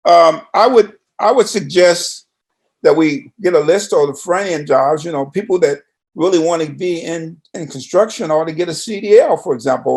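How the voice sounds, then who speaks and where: Very gravelly older man, halting delivery. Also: on the high side, a little mellow. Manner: halting, rambling, folksy. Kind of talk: casual conversational talk.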